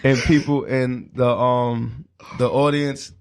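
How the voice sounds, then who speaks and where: A man's voice: mid-range voice, level tone, slow pace, smooth. A man chatting casually and in slang as an artist guest on a radio interview. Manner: slangy, repeats catchphrases like "you know what I'm saying". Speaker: guest, independent hip hop artist.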